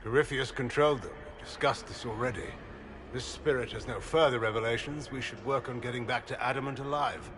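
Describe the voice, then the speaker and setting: A man's voice: medium pitch, fairly quick, background noise, lightly acted. A man acting a stern, practical warrior companion in a fantasy video game, speaking firmly and matter-of-factly. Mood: firm, impatient.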